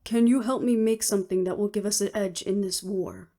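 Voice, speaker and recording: A woman's voice: medium pitch, steady tone, fairly quick, dark tone, lightly acted. A woman playing a cool, determined woman official in an audio roleplay, with clipped, businesslike delivery. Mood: firm, resolute. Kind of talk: scripted audio roleplay acting.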